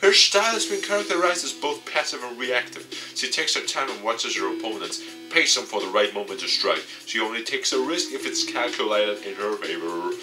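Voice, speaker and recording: A man's voice: medium pitch, fairly quick, recorded from a distance, over music, low-quality recording. A man reading a visual novel aloud and commenting as he plays, as a gaming video host. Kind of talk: let's play, reading game text aloud.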